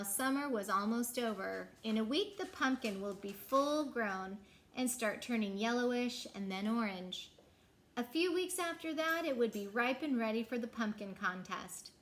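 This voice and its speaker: A woman storyteller reads a picture book to young listeners. Her voice is fairly high and highly animated in pitch, with deliberate, dramatic phrasing that stretches key words. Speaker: children's storyteller. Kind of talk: expressive picture-book read-aloud.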